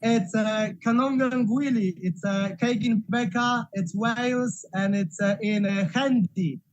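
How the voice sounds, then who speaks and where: A man's voice: sits high, steady tone, a little slow, lo-fi sound. A man from Poland who works at a community center, speaking hesitantly with many fillers on a video call. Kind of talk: casual remarks in an online meeting.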